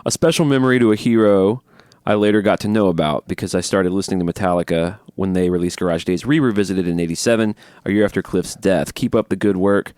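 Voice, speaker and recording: Fast-talking low-voiced podcast host. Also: male voice, a little mellow, no echo, clean studio sound. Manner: quick, chatty, jumps in over the other host. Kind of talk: casual chat, reading listener emails.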